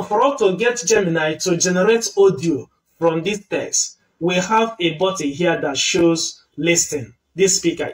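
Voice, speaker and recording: A man's voice: a little high, recorded from a distance, poor audio. A man hosting a screen-recorded tutorial, patiently explaining each step. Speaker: tutorial host.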